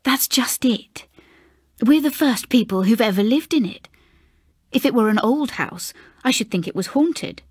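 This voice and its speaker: A woman's voice: on the high side, expressive, a little slow, slightly rough, no room sound, studio quality. Woman playing a gloomy, haunted English husband. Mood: uneasy, brooding. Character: gloomy, uneasy husband haunted by something unseen.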